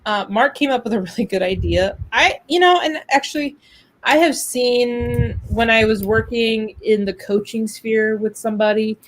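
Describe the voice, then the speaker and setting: A woman's voice: a little high, moderate pace, low-quality recording. A woman with an American accent chatting informally and telling anecdotes, acting out quoted exchanges. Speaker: business podcast co-host. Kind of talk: casual chat, anecdotes. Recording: noticeable background noise, clicks.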